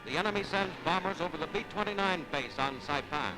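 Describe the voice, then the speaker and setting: A man's fairly high voice rides over loud music on a vintage newsreel soundtrack. He has an American accent and narrates from a script in the 1940s wartime manner, declaiming each line dramatically. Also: animated, fairly quick, poor audio. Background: loud musical score.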